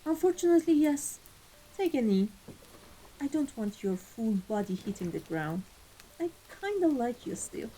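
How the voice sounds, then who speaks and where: A woman playing an amused, ruthless vampire mafia boss in a scripted ASMR roleplay, speaking in a deliberate, theatrical, condescending way. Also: a little high, big pitch swings, mellow, slight background noise, poor audio. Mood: coldly ruthless. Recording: voice over background music.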